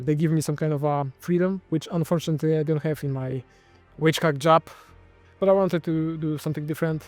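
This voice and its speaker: A man's voice: a little high, a little mellow, dry recording. A man with a Polish accent hosting his own channel, speaking in a loose, wry commentary monologue that often trips and corrects itself. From Poland. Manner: rambling, self-correcting, wry. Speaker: channel host.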